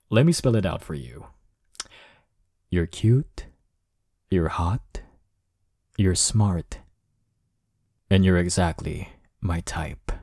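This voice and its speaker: Deep-voiced, cocky flirtatious college rival acted by a man. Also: no room sound, clean studio sound. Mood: smug, flirtatious. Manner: smug, flirtatious, drawling teasing with soft chuckles. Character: cocky, teasing male college rival smitten with listener. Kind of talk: intimate romantic audio roleplay.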